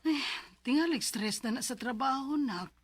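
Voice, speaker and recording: A woman's voice: high voice, moderate pace, breathy edge. An actress with a Filipino Bisaya accent playing a caring, worried middle-aged mother in a radio drama, speaking tenderly. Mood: soothing.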